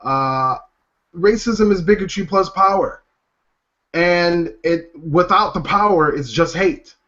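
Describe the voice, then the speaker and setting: Male podcast co-host, slightly high voice, blunt and profane, dull lo-fi sound. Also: slow pace, sharp tone. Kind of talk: casual chat.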